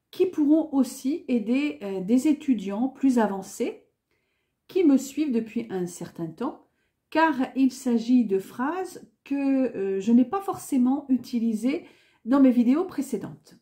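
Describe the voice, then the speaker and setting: A woman teaching a beginner French lesson, saying each useful phrase twice with careful, clear enunciation. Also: on the high side, warm tone. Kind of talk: beginner language lesson, repeating phrases slowly.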